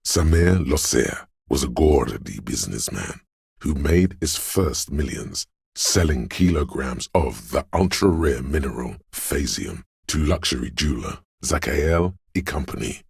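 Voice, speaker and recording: Very deep, gravelly, scholarly inner voice. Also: male voice, animated, no echo, clean studio sound, full character voice. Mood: learned, expository. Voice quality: gravelly, husky bass. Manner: measured, erudite, dryly sardonic. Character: scholarly inner encyclopedia voice, grave, dryly musing trivia narrator. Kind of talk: scripted game narration.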